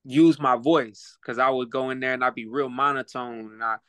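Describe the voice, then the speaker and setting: A man's voice: medium pitch, fairly even intonation, moderate pace, bright, forceful tone, clean tone, no echo, studio quality. A man with an Oklahoma accent, talking as a guest on a casual podcast in a laid-back, reflective way, repeating words while he thinks. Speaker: guest, rapper and boxer.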